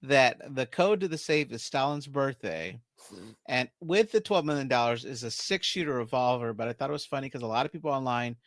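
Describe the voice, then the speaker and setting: A man's voice: mid-range voice, brisk, hardly any pauses, a bit bright, no room sound. Guy hosting a horror movie podcast, walks thru the plot, dry close mic. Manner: recounts plot scene by scene, laughs often. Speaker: podcast host. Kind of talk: casual movie recap chat.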